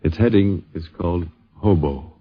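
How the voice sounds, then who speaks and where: A man's voice: sits low, normal speed, no room sound. An American man hosting a 1950s radio documentary, narrating as a conversational storyteller. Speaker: radio host. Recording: dull 1950s radio recording.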